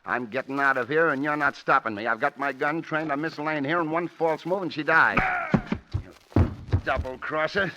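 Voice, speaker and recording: A man's voice: a little high, moderate pace, heavy background noise, close to an everyday voice. An actor playing a ruthless, cocky gangster with a tough-guy manner in a 1940s radio drama. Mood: gloating, menacing. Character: ruthless, cocky gangster with a gun, boastful man. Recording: muffled old radio recording.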